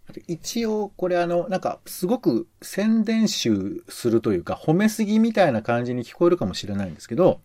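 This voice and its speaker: A man's voice: sits high, big pitch swings, fairly quick, few pauses, warm tone, dry recording. A man co-hosting a podcast, explaining in casual chat in a hedging, roundabout way. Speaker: podcast co-host commenting on radio shows.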